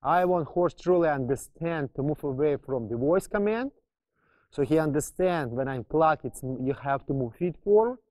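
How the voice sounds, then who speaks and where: A man with a soft-edged, mellow voice teaches horse training in English that is not his first language. His grammar is non-native, and he repeats important terms for emphasis as he explains his method step by step. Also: a little high, animated, moderate pace, poor audio. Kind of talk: instructional explanation.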